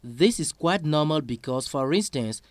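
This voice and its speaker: Slow, careful male sermon interpreter. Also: fairly high pitch, lively intonation, no room sound. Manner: slow, careful, with small slips and fillers.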